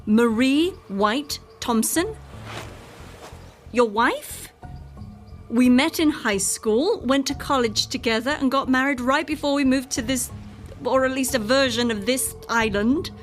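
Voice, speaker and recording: An actress playing a bitter, volatile, sarcastic wife from another dimension in a radio drama. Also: female voice, high-pitched, a little slow, faint music behind. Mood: insistent.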